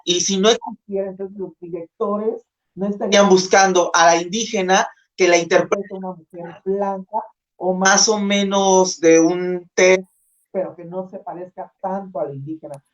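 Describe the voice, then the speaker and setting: A man with a southern Mexican accent speaking in a halting, conversational way as a guest in an informal panel discussion. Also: high-pitched, fairly even intonation. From Isthmus of Tehuantepec, Oaxaca, Mexico. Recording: dull lo-fi video call audio.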